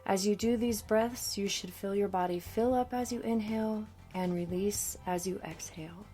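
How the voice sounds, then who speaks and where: A woman coach narrating a scripted guided meditation in a soothing, deliberate manner. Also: mid-range voice, warm tone. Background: background music.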